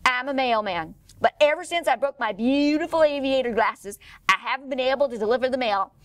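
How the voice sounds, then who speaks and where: A woman reads as a courteous male armadillo mailman from a children's storybook. He is folksy and chatty, with an animated, lilting melody and a dry sound. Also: high voice, fairly quick, dry recording. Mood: friendly.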